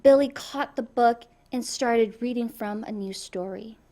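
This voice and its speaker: Woman, high-pitched, slow-paced, expressive children's read-aloud, speaks to young listeners. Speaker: storybook reader.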